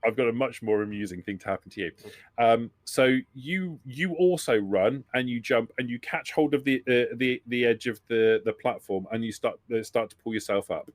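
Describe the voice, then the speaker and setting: A man's voice: medium pitch, moderate pace, hardly any pauses, no room sound. Dry, close-sounding male game master. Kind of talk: narrating a tabletop roleplaying game.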